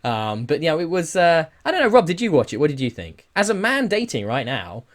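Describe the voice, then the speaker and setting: A man's voice: a little high, huge pitch swings, brisk. Rambling man hosting a casual podcast. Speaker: podcast host. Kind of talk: casual chat about games and news.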